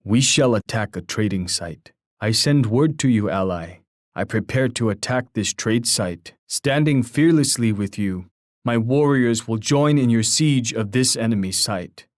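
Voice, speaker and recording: An actor playing a proud, poetic Native war chief in a video game, speaking in a formal, grandiose, oratorical manner. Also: male voice, mid-range voice, a little slow, no echo, studio quality, close to an everyday voice. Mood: resolute, menacing. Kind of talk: scripted video game voice lines.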